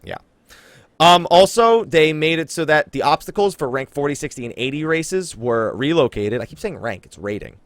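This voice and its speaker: A man hosting a casual gaming podcast, talking in an animated way and exaggerating for emphasis. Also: on the high side, normal speed, no echo. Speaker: podcast host. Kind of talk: casual game podcast chat.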